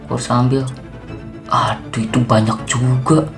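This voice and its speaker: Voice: medium pitch, little pitch movement, measured pace, close to an everyday voice. A man playing a weary, jumpy zombie apocalypse survivor in a Minecraft roleplay, muttering and exclaiming to himself in casual slang. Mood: puzzled, wary. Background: background music.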